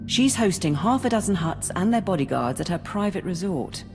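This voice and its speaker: Game role: efficient female logistics officer, low-pitched, clipped briefing manner, heard in a big reverberant space. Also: animated, normal speed. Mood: brisk, businesslike. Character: brisk, efficient female military logistics and operations coordinator. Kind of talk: video game voice acting. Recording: reverberant hall, music behind.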